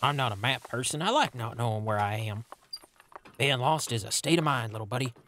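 A man voicing a carefree, goofy cartoon creature in a comic dub, chummy and excitable. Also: mid-range voice, a little fast, slight background noise, lightly acted. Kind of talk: comic book dub acting.

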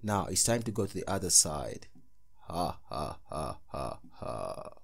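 A man playing a threatening demon who collects souls, speaking in a slow, ominous way. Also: extremely low pitch, sing-song, vocal fry, voice pushed down, exaggerated voice. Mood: sinister laughing.

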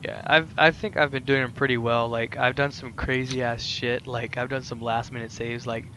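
A man's voice: medium pitch, moderate pace, a little hiss or hum. A young man hosting a Minecraft let's play, chatting casually with friends over a voice call in a rambling, filler-heavy way. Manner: rambling, filler-heavy, animated gamer talk.

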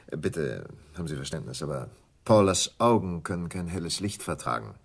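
An actor playing a polite, suave, insinuating schemer with a shady offer in a German radio crime drama. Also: male voice, sits low, big pitch swings, normal speed, vocal fry, husky, heavily acted. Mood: courteous. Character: smooth, furtive scheming gentleman with a shady offer.

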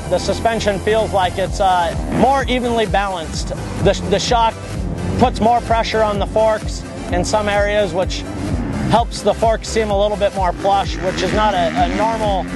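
A man, a magazine test rider, giving a casual review of a bike, halting often on filler sounds. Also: high voice. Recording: music bed behind voice.

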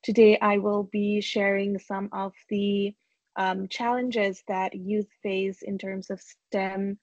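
Young woman from nepal reading her talk off notes, pretty flat delivery, dull dry laptop mic sound. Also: medium pitch, slow, no room sound. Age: young adult. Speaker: youth speaker. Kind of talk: prepared talk on a video call. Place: room at home, indoors. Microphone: laptop webcam mic on video call. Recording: dull, dry video call audio.

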